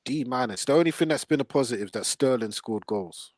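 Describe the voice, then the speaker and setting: A man's voice: mid-range voice, lively intonation, fast, a little mellow, dry recording, studio quality. A man hosting a casual football panel chat, blunt and full of slang. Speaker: podcast host.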